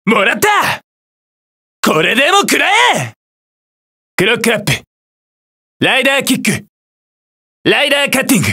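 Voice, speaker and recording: Hot-blooded young hero, shouting high. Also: male voice, big pitch swings, normal speed, pauses often, slightly rough, heavily acted. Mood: aggressive. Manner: forceful shouted short battle cries. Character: hot-blooded young armored male hero, cocky and determined. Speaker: video game voice actor. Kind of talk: fighting game battle shouts and attack calls.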